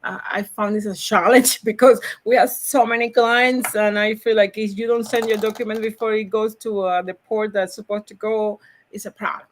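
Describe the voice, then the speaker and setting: A woman with a Cuban Spanish accent, a native Spanish speaker, talking about her logistics work in a podcast interview with lively, upbeat energy and frequent laughs. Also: medium pitch, normal speed. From Cuba. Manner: energetic, upbeat, laughs often, repeats words. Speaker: logistics salesperson, guest.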